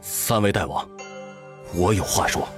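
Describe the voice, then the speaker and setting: A humble tiger-headed father, acted by a man for a fantasy audio drama. His low, subdued voice pleads earnestly and respectfully, in halting, careful phrases over faint music. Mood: humble pleading.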